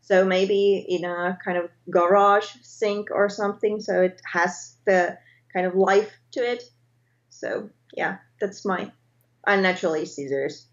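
Finnish-accented woman, 30s, halting english, keeps correcting herself, muffled webcam in an echoey room. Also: mid-range voice, steady tone. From Finland. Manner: hesitant, searches for English words, frequent self-corrections. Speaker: guest artist. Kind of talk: casual interview chat. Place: home art studio indoors. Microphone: webcam on video call. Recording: roomy, dull lo-fi webcam sound.

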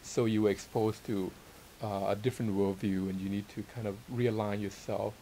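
A middle-aged man from Thailand speaks as an interview guest, his voice on the low side with a gravelly creak. He is modest and hesitant, feeling his way through his answers. A steady hiss sits behind him. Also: moderate pace. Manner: hesitant, searching for words, modest. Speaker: interviewed guest, theology teacher and author. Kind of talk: casual sit-down interview. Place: small library room with armchairs. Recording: steady hiss and room noise.

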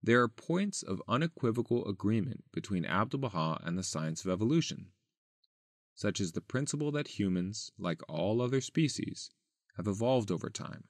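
A man reading a written article aloud as scripted narration, in a measured, deliberate and formal manner. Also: lowish voice, lively intonation, no room sound, studio quality. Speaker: article reader.

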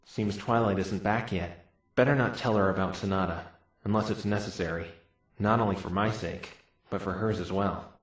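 A man playing an earnest, flustered young defence lawyer in a visual novel fan dub, expressive and incredulous. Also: fairly low pitch, normal speed, natural voice, rough recording. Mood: worried.

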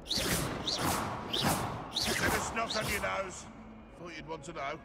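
A grumpy stone gargoyle from a fantasy video game. The voice is gravelly and creaky, full of sardonic disdain and complaint. Music plays behind it. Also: male voice, sits high, takes it slowly, exaggerated voice. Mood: scornful. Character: grumpy, sarcastic stone gargoyle guarding a headmaster's door. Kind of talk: video game character voice acting.

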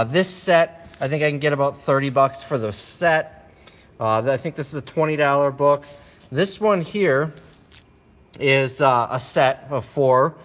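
A man filming on his phone indoors, casually listing items from a storage unit in a quick, offhand way. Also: on the high side. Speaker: reseller showing a storage unit haul. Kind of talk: casual walkthrough narration. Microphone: handheld phone camera.

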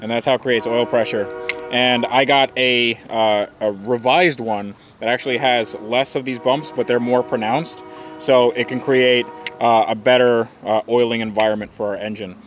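A man's voice: medium pitch, steady tone, soft background music. A man in his thirties hosting a car restoration vlog, explaining parts casually and reading a sponsor spot with upbeat energy. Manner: upbeat, joking, quick sponsor delivery. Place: indoor room for sponsor segment, garage lot outdoors elsewhere.

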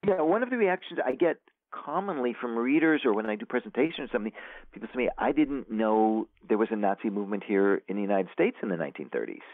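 Male author guest, very deep voice, halting and grave, phone-quality audio. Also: animated, dry recording. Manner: halting, many restarts, somber. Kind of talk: casual interview talk. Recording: tinny phone line.

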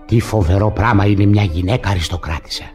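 A man's voice: on the low side, a little mellow, soft background music, lightly acted. An actor playing a bitter, suspicious wealthy peasant husband in a radio comedy, exclaiming and repeating his words. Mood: bitter, self-pitying. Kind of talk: Greek radio theatre acting of classic comedy.

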